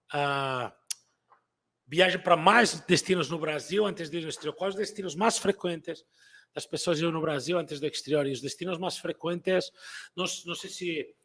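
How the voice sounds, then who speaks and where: A man's voice: a little high, slightly rough. A middle-aged man whose first language is not Portuguese, with a Brazilian-learned accent, presenting a travel club to his viewers in rambling casual talk that repeats and restarts words and keeps adding "né?". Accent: non-native Portuguese, Brazilian-learned.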